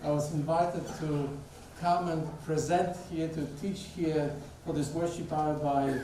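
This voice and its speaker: A middle-aged man with a Polish accent delivers a chatty guest sermon and asks the congregation questions. His voice sits fairly high and moves at an unhurried pace. He is heard from a distance, in a church hall full of echo. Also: fairly even intonation, dark tone, slight background noise. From Poland. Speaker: guest speaker, theology professor. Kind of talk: conversational sermon. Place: church sanctuary. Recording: echoey church hall, picked up from afar.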